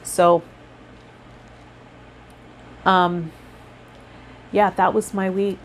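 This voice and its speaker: A woman vlogging casually and reflectively to her viewers, rambling a little and pausing mid-thought, with some guided meditation cues. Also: fairly low pitch, flat delivery, slight background noise, rough recording. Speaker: host of a tarot vlog and healer.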